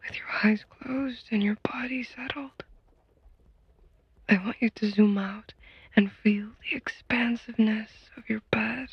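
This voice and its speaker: Female meditation guide, very breathy and whispered, slow and gentle, on a slightly dull recording. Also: on the high side, steady tone, no room sound. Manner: gentle, soothing, unhurried with long pauses.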